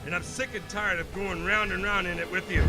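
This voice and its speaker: Man as earnest, wisecracking young hero in audio drama; high-pitched, emphatic, loud score underneath. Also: fairly even intonation, a little fast, close to an everyday voice, poor audio. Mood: angry, resolute. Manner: emphatic, quippy, defiant. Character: young male superhero, wisecracking, defiant and earnest. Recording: loud music bed behind voice.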